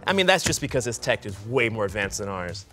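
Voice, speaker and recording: A man in his twenties giving an upbeat, encouraging science demonstration for children, with an animated and exclamatory manner. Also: fairly low pitch, quick pace. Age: 20s. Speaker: science show host. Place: TV studio set. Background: music.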